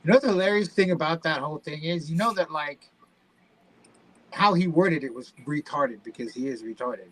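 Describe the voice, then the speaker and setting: Male co-host from Egypt, higher-pitched, wry and foul-mouthed in casual banter. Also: fairly quick, poor audio. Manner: blunt, profane, wry. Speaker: talk show co-host. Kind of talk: casual joking chat.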